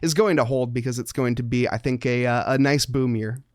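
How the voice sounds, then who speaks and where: A man's voice: medium pitch, fairly even intonation, brisk, darkish tone, no room sound, clean studio sound. A man who works as a sim racing commentator, talking as an upbeat guest in a casual podcast interview.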